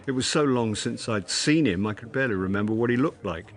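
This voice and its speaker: A man's voice: medium pitch, expressive, brisk. A middle-aged man narrating a radio drama in first person, wry and sardonic. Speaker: actor and narrator.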